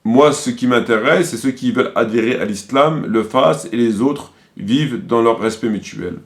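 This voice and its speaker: A man's voice: medium pitch, normal speed. A man delivering critical commentary, quoting sources didactically and repeating his points for emphasis.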